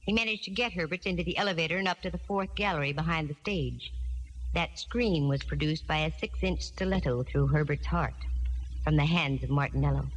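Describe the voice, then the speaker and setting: A woman's voice: deep voice, a little hiss or hum, close to an everyday voice. An actress playing a wry, self-assured, slangy female private eye in a 1940s radio drama. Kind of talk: 1940s radio drama acting and first-person narration. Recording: vintage radio recording, long reverb, music behind.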